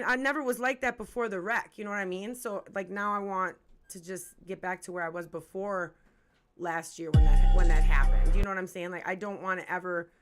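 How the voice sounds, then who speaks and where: A woman's voice: mid-range voice, fairly bright tone. A woman in her forties hosting a casual hip-hop interview livestream, chatty, candid and slangy, swearing freely. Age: 40s. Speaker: host of a music review livestream.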